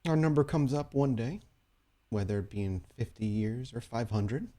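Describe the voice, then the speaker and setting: A man voicing a smug, menacing ageless vampire crime boss who speaks in measured, formal taunts in a tabletop game. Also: mid-range voice, warm tone, natural voice. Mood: taunting.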